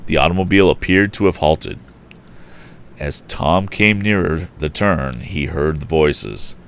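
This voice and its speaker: A man reading an adventure story aloud in an expressive storyteller's style. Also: very deep voice, measured pace. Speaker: storyteller, livestream host.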